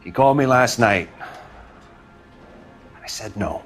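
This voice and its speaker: Slow-talking defensive husband played by a man, weary and bitter, game interrogation. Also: medium pitch, faint music behind, lightly acted. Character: weary bitter middle-aged husband under questioning. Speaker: murder suspect, victim's husband.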